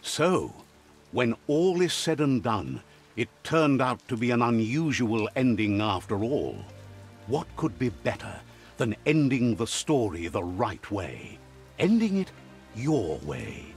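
An actor playing a wise, philosophical storyteller narrating a fantasy game in a solemn, measured way. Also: male voice, mid-range voice, sing-song. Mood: warmly solemn. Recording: music behind the voice.

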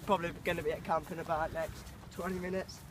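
High-voiced teen boy, blunt and sweary. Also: flat delivery, fast talker. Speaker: camping friend. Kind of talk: casual chat. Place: outdoors, woods. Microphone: handheld phone. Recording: phone outdoors with background noise.

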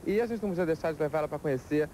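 Young man, Brazilian accent, TV reporter, upbeat, quick, handheld mic outdoors. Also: a little high, dark tone, slight background noise. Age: young adult. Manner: upbeat, brisk presenter delivery. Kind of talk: TV show interview and links. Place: outdoors on a beachfront.

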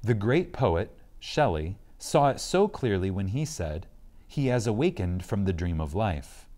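A man giving a reverent, scripted reading of a spiritual lecture, solemn and emphatic, with deliberate pauses. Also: on the low side, sing-song, warm tone.

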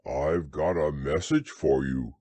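A man voicing a slow-witted, gentle, happy toy bear in a storybook, naive and hesitant. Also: very deep voice, breathy edge, no echo, voice pushed down, exaggerated voice. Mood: friendly, slow.

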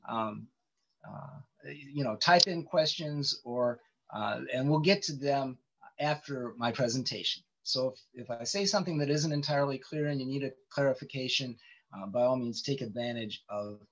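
A man's voice: mid-range voice, normal speed, a bit bright. A man giving an informal online lecture over a video call, explaining things with many ums and restarts. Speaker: presenter giving a webinar talk. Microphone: computer mic on a video call. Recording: dull lo-fi call audio.

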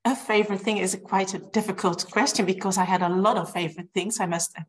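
A woman's voice: mid-range voice, steady tone, quick pace. A middle-aged woman, a guest in a casual panel on a video call, searching for words in English. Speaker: podcast guest, nurse.

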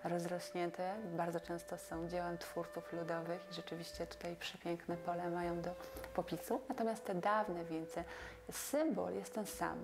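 A woman in her forties explains things in an unscripted interview style. Her voice sits on the low side with a clear creak, and her intonation stays fairly flat. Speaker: interviewed expert on folk customs. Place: indoor room.

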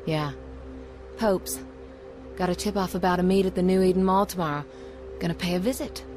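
A woman's voice: fairly low pitch, fairly even intonation, fairly quick, darkish tone, faint music behind, natural voice. An actress playing a guarded young female runner in a game cutscene, speaking in subdued, reflective, clipped phrases. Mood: brooding, subdued. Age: young adult. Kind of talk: animated game cutscene acting.

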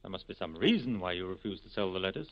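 An actor playing an earnest, steadfast resistance leader, grave and dignified, in 1940s radio acting style. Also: male voice, sits low, expressive, fast. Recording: dull 1940s radio broadcast recording.

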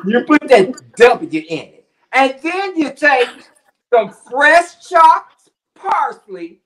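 A man's voice: very high voice, very animated, slow pace, a bit bright. A middle-aged man on a video call from his home kitchen, demonstrating a recipe in a playful way, full of slang and puns. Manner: jokey, drops slang and puns. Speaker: cooking show contestant. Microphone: webcam on video call.

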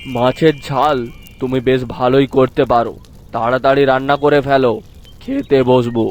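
A man's voice: mid-range voice, fairly even intonation, normal speed, slight background noise, natural voice. A man playing a bossy, short-tempered village fisherman husband in a cartoon folk tale, with a domineering manner. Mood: indulgent. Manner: domineering, scolding. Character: bossy, short-tempered fisherman husband who dotes on his younger wife. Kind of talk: animated folk-tale voice acting. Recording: music behind the voice.

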